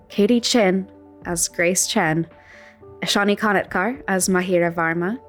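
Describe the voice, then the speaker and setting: Woman doing podcast end credits, scripted read, medium pitch, soft music under. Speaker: podcast creator reading closing credits. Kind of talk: scripted narration.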